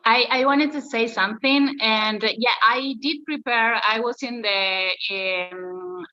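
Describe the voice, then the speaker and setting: Bright-voiced woman on a dull video call. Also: a little high, no echo. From Argentina. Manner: friendly, eager, fast talking with fillers. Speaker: acting challenge participant. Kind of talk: casual chat on a video call.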